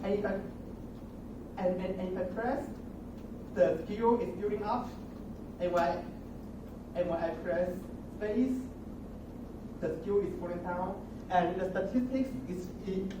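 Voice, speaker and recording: Halting young man in a roomy, noisy recording. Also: high-pitched. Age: young adult. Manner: halting, repeats and restarts words often. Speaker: student presenter. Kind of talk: impromptu project demonstration. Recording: roomy, distant mic with noticeable noise.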